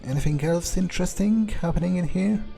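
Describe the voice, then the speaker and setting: A man's voice: high-pitched, brisk, creaky, warm tone, faint music behind. A man roleplaying his character in a game playthrough, thinking aloud in a laconic, offhand, musing way.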